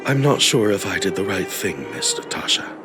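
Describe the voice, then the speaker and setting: A man's voice: low voice, sing-song, normal speed. A man playing a loyal, humble old family retainer in a video game, speaking in a formal, deferential, kindly way. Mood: apologetic, earnest. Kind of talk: video game story voice acting. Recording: music behind the voice.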